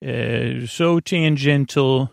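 Creaky-voiced man rambling softly toward sleep. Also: mid-range voice, no room sound, studio quality. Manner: meandering, halting, tangent-prone, lulling. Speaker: podcast host. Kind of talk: rambling bedtime sleep podcast monologue.

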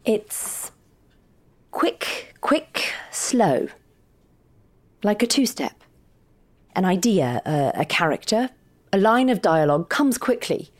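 An actress playing a frank, witty, encouraging partner of a writer, articulate and wry, in naturalistic audio fiction. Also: female voice, mid-range voice, very animated, slow, pauses often. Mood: encouraging, tender.

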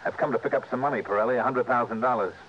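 An actor playing a tough, blunt, world-weary insurance investigator in a 1960s radio drama, clipped and commanding. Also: male voice, mid-range voice, very fast, lightly acted. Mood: stern. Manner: hard-boiled, commanding, clipped. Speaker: lead radio detective. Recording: dull, noisy vintage radio recording.

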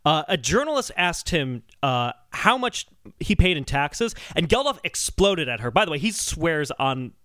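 A man hosting a podcast in casual, comedic discussion with animated, exasperated emphasis. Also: a little high, normal speed, no room sound, clean studio sound. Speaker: podcast host.

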